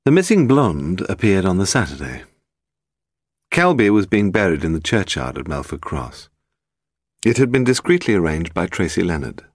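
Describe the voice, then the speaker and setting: British male narrator, deep and lively in intonation, measured detective storytelling, dry close studio sound. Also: no room sound. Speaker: audiobook narrator. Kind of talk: scripted narration of a detective novel.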